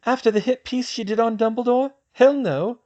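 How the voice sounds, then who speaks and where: A man voicing a sharp, determined teenage witch who talks briskly and decisively. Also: very high-pitched, dull audio, voice pushed up. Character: sharp, determined teen witch, organized planner.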